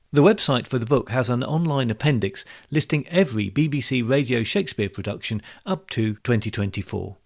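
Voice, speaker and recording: Casting: British male podcast host, medium pitch with noticeable vocal fry, measured and orderly scripted read. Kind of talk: scripted podcast outro narration.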